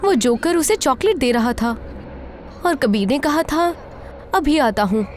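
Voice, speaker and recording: Woman acting a frightened high-voiced young woman. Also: lively intonation, a little slow, a little hiss or hum. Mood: regretful. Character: frightened, grief-stricken young woman, emotional but brave. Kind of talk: dramatised horror story.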